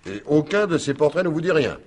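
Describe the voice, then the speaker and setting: An actor playing a wry, probing police commissioner in a French radio crime drama, theatrical and insistent, repeating words for effect. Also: male voice, fairly high pitch, sing-song, fairly quick, darkish tone. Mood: soothing, probing.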